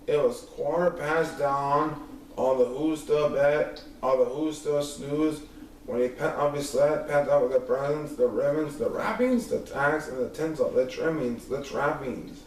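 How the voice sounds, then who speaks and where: A man reading a rhyming children's story aloud as an expressive narrator. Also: on the high side, unhurried. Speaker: storybook reader. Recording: very echoey room, distant mic, faint noise.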